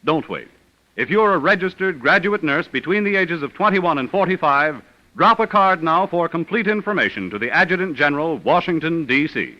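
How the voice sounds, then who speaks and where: A man working as a radio announcer in formal 1940s network style, with polished, measured, declamatory delivery. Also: a little high, lively intonation, bright, forceful tone. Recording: muffled vintage radio recording with music.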